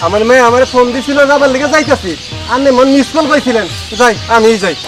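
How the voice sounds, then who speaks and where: A man's voice: very high voice, quick pace, music in the background. A man with a Bangladeshi accent playing a touchy, poor in-law in a comedy drama, indignant and quarrelsome. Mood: defiant. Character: touchy, combative poor parent-in-law, feuding with rival. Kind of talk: Bengali comedy drama acting.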